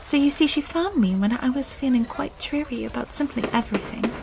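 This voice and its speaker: A woman's voice: high voice, expressive, a little fast, vocal fry, very noisy. An actress voicing a lonely, sullen young girl who narrates her own story in an audio drama. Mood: storytelling. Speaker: narrator and actor.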